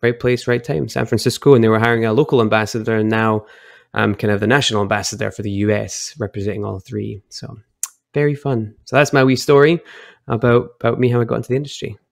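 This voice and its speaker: A young man from Edinburgh with a Scottish accent, chatting and telling stories as a podcast guest over a video call, relaxed and quick to laugh. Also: mid-range voice, creaky, dry recording, studio quality. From Scotland, Edinburgh. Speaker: guest, whisky brand ambassador. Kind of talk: casual storytelling chat.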